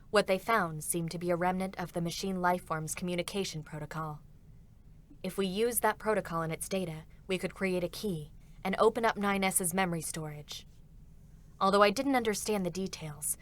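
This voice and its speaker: A woman's voice: on the low side, close to an everyday voice. An actress playing a young, determined female android soldier, earnest and emotional, in a dramatic first-person reading. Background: music.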